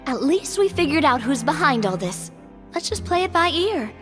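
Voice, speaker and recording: A woman's voice: sits high, very animated, normal speed, long reverb tail, music in the background. An actress playing a bold, cheerful young female adventurer who speaks in a breezy, confident way.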